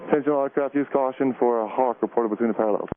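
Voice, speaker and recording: A man's voice: medium pitch, fairly even intonation, rapid-fire. A man giving procedural instructions as an air traffic controller over aviation radio. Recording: tinny, narrow-band aviation radio.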